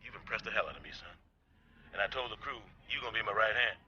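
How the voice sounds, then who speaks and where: An actor playing an assured, fatherly street gang boss in a video game, giving a measured, streetwise dramatic monologue like a mentor. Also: fairly low pitch, sharp tone. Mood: proud reassuring. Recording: dull lo-fi sound, faint noise.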